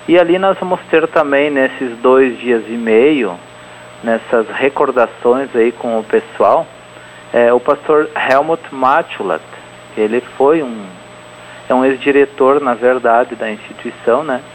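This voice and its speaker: A man's voice: mid-range voice, a little hiss or hum, poor audio. A man in his forties, a guest on a radio interview, speaking with frequent fillers and restarts. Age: 40s. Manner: hesitant, many fillers and restarts. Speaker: interview guest, event organizer.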